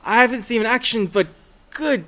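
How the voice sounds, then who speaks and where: Male guest, high voice, short laughing replies, casual chat. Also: moderate pace, sharp tone.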